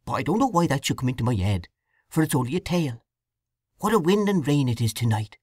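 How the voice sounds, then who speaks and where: A woman's voice: extremely low pitch, sing-song, quick pace, darkish tone, slightly rough, dry recording, studio quality, voice pushed up, exaggerated voice. A woman voicing a kindly old widow with a rural English dialect, homely and soothing.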